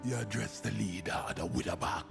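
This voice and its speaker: Gravelly, proud troll chief. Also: male voice, on the low side, lively intonation, a little fast. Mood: proud, formal. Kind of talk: fantasy game voice acting. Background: game music.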